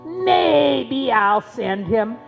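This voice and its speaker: A woman playing a mischievous, scornful spirit in a puppet show, with a sly, taunting, drawn-out delivery. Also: fairly high pitch, gravelly, long reverb tail, highs cut off, full character voice.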